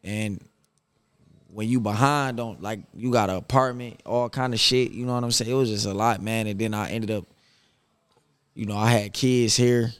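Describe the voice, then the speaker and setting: A man's voice: medium pitch, clean tone, dry recording. A man, talking casually and reservedly as an interview guest, with slangy phrasing. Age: 30s. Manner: reserved, even-keeled, slangy. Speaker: podcast guest, boxer.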